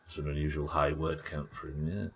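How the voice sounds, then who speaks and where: A British man with a naturally croaky voice, chatting casually and swearing while streaming a game. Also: very deep voice, flat delivery, brisk, fairly bright tone, slight background noise. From United Kingdom. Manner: chatty, swears, reads chat aloud. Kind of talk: casual gameplay chat.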